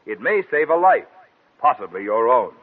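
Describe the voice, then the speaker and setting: Lively male announcer on tinny old radio. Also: mid-range voice, normal speed, loud music behind the voice. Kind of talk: 1940s radio commercial announcing. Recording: tinny 1940s radio broadcast.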